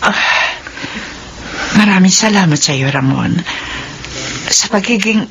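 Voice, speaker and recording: Madamdaming ina, pabago-bago ang tono. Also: female voice, medium pitch, slow pace, slight background noise. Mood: tender, grateful. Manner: tender, emotional, expressive. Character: gentle, grateful, devout mother of grown children. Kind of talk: Filipino radio drama acting.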